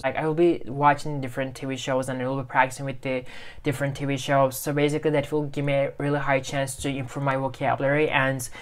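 A man's voice: medium pitch, clear, resonant tone. A young man in his twenties with a Turkish accent, chatting animatedly to camera about learning English, full of fillers like "you know" and "like". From Turkey. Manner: animated, gestures with both hands, loose filler-heavy phrasing. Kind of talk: casual talk to camera. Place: small bedroom at home.

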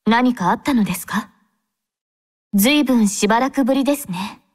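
A woman voicing a devout young female android squad captain in an anime game, speaking formally and with gentle composure. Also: fairly high pitch, fairly quick, natural voice. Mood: tender, wistful. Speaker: game character voice actor.

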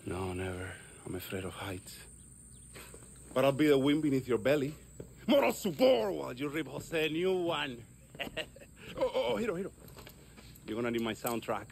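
A man playing an eccentric, boastful guerrilla tinkerer in a video game, with theatrical, excitable flourishes. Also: medium pitch, creaky, slight background noise. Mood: boastful, gleeful. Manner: theatrical, drawn-out bragging with affectionate flourishes. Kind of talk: video game cutscene acting.

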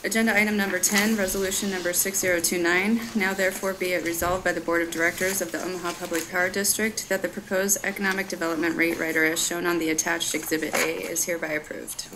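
A woman's voice: on the low side, level tone, fast, few pauses. A woman working as a board secretary, formally reading resolutions and calling the roll at a meeting. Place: large public board meeting room. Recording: noisy far-off meeting room recording.

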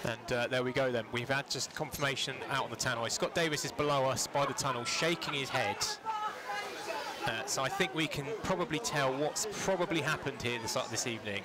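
A man giving live football commentary from a stadium stand, conversational and halting, with plenty of fillers. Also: medium pitch. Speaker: match commentator. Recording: loud crowd and outdoor noise.

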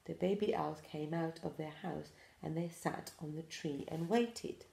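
A woman in her forties gently reading a picture book aloud to pre-school children, with expressive storytelling. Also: deep voice, unhurried, creaky, roomy sound. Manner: gentle, addressing small children. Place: small room indoors.

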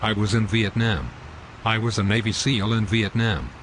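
A man voicing a rude, scruffy homeless man in an amateur animated comedy, with slow, sulky, insulting speech. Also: lowish voice, noisy background, close to an everyday voice. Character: rude scruffy homeless man who lies about everything.

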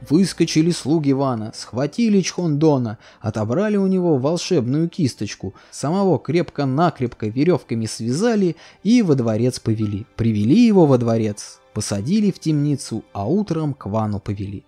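Man telling a fairy tale over soft music, voice kinda high and really animated. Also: moderate pace. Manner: sing-song storytelling, sweeping pitch. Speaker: narrator. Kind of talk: expressive fairy tale narration. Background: faint music.

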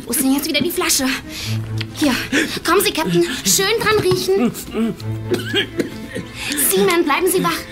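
An actress playing a composed young blind nurse with a soothing, deliberate way of talking and a hidden cold streak, in a German audio drama. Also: female voice, extremely high pitch. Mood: urgent. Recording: music behind the voice.

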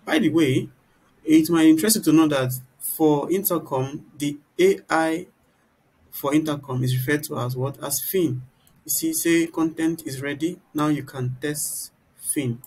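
A man giving an informal, explanatory software tutorial, often turning statements into little rhetorical questions. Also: fairly high pitch, unhurried, poor audio. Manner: explanatory, poses rhetorical "what?" questions mid-sentence. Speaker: tutorial presenter. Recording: clear background noise.

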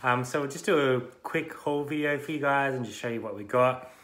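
Casting: Australian man, thirties, laid-back vlogger, mid pitch, phone mic with clear background hum. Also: brisk, echoey room. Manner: relaxed, many ums, chatty. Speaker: vlogger and reseller. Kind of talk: casual vlog chat. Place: car, then a small living room. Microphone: phone camera at arm's length.

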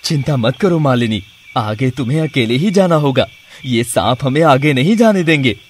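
A man's voice: mid-range voice, expressive, normal speed, husky. A man voicing an earnest, persuasive, protective husband and father in a cartoon story. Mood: concerned, reassuring.

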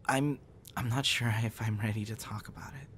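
A young man performing an intimate audio roleplay as a troubled guy confiding in a close friend after a breakup, halting and stammering. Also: lowish voice, fairly even intonation, fairly bright tone, lightly acted. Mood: subdued, apologetic. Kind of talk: intimate ASMR boyfriend roleplay, acted monologue. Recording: noticeable background noise.

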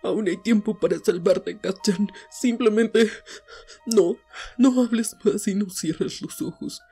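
A woman playing a desperate, grieving teenage boy hero in an anime fandub drama, pleading in halting, repeated phrases. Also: medium pitch, warm tone, slightly rough, faint music behind, exaggerated voice. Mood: pleading, anxious. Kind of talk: Spanish fandub audio drama, emotional acting.

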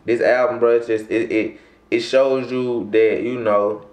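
A young man from Louisiana, a rapper talking casually in an interview, rambling and heavy on slang with frequent throat clearing. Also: medium pitch, level tone, a little slow, darkish tone. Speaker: rapper guest. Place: small room, seated in armchair. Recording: echoey room.

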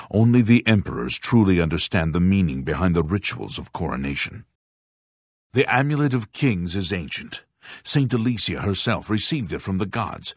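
Deep, husky, solemn monk. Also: male voice, no room sound, clean studio sound, exaggerated voice. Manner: formal, solemn, deliberate enunciation. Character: grave aging monk, former captain of imperial bodyguards. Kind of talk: fantasy video game voice acting.

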